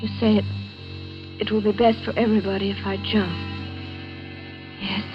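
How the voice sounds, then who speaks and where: An actress playing a fearful, distraught young heiress near breakdown in breathless, melodramatic 1940s radio thriller style. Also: female voice, mid-range voice, little pitch movement, moderate pace, natural voice. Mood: despairing. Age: young adult. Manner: breathless, melodramatic monologue delivery. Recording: dull vintage radio recording, loud music.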